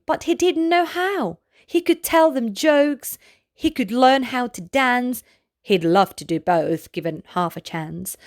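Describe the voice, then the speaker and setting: A woman reading a picture book aloud for children at bedtime. Her voice sits high and moves a lot, and she reads slowly and gently, lingering on each line. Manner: gentle, expressive, unhurried. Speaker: storyteller.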